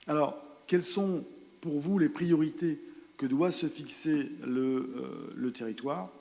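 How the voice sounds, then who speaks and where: A man giving a spoken presentation at a council meeting, explaining results in a hesitant, halting way with repeated words. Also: on the high side, creaky, mellow. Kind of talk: spoken presentation of survey results and strategy, partly improvised. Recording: reverberant hall sound.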